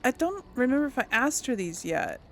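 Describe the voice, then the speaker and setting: A woman's voice: sits high, big pitch swings, a little fast, slight background noise. A woman doing casual let's-play commentary, musing aloud and replying in role to game characters. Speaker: gaming host role-playing through a game.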